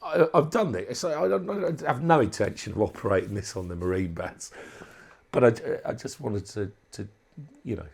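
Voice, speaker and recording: A man giving a casual, unscripted walkthrough as a hobbyist presenter, thinking aloud in a halting way. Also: on the low side, lively intonation, creaky, a little mellow, breathy edge. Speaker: hobbyist presenter demonstrating a modified radio.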